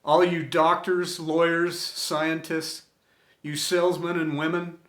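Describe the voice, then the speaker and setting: Slow, earnest man in an echoey room. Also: on the high side, little pitch movement, a bit bright. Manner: earnest, deliberate, phrase-by-phrase delivery with pauses. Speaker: storyteller and host of an inspirational series. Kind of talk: scripted inspirational tribute reading.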